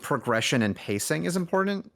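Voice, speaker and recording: A man's voice: a little high, big pitch swings, fairly quick, vocal fry, fairly bright tone. A man speaking as an indie game developer in a casual podcast conversation, rambling and correcting himself as he thinks aloud.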